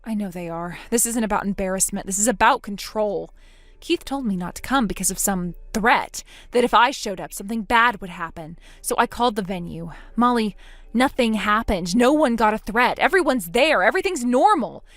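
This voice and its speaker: A woman's voice: moderate pace, dry recording, lightly acted. A woman voicing a determined older sister who speaks firmly and steadily. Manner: firm, steady, lower-voiced.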